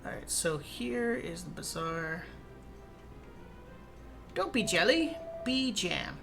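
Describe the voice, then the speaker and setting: A woman's voice: lowish voice, sing-song, slow, gravelly. A woman with a Minnesotan accent, chatting casually and playfully while streaming a game. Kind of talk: casual gameplay chat. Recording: game music behind.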